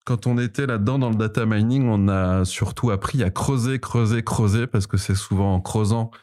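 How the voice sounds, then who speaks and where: Hesitant Parisian man, dark low voice. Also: normal speed. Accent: Parisian French. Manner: hesitant, many fillers and restarts. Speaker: podcast co-host, data specialist, interviewed guest. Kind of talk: conversational interview answer.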